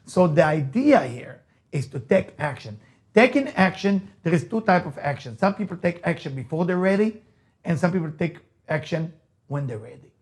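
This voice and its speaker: A man's voice: fairly high pitch, very animated, moderate pace, a little mellow. A man with an Israeli accent, a native Hebrew speaker, giving an emphatic motivational lecture, repeating key phrases and asking rhetorical questions. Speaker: rabbi, motivational speaker and teacher.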